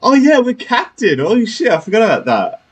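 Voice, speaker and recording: A man's voice: sits high, wildly swooping pitch, a little fast, slightly rough. A man commentating casually as he plays a video game, informal and reactive. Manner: informal, reactive, swears mildly. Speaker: gaming streamer. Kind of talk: casual let's-play commentary. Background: game music.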